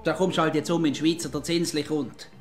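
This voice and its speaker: A grumbling Swiss German husband, played by a man in an old comic radio play, middle-aged and sarcastic, repeating himself in a high voice. Music plays clearly behind him. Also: fairly even intonation, a little fast, natural voice. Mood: mocking, annoyed. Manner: blustering, repetitive, sarcastic.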